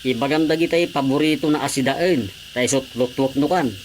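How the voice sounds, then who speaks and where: A man's voice: mid-range voice, moderate pace, slight background noise, natural voice. A man acting as a cheeky, eager, teasing visiting cousin in a comedy radio drama.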